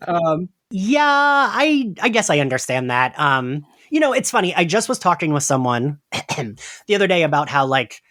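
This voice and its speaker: A man co-hosting a casual podcast chat, animated and quick to laugh, with lots of fillers. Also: a little high. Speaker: podcast co-host.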